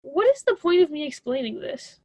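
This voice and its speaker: A woman's voice: high voice, sing-song, a little fast, darkish tone, dry recording. A young woman playing a stubborn, sarcastic college student in a radio drama, with a dismissive conversational delivery. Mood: exasperated. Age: young adult.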